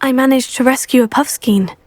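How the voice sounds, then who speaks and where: Brief: player character, young female student, inquisitive and courteous, higher pitch. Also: a little fast, darkish tone, no echo. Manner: polite and inquisitive. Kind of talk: video game voice acting. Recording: game audio with faint music.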